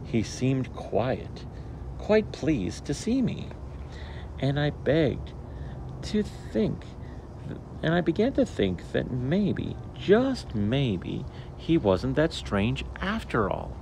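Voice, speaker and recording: Man, children's story read-aloud, expressive and theatrical, lively intonation, unhurried, background noise. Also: mid-range voice, gravelly. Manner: animated, theatrical, deliberate phrasing.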